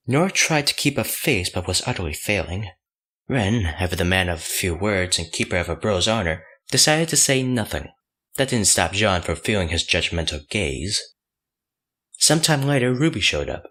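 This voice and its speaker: A man's voice: lowish voice, fairly bright tone, husky. A man narrating a fan fiction audiobook from a script.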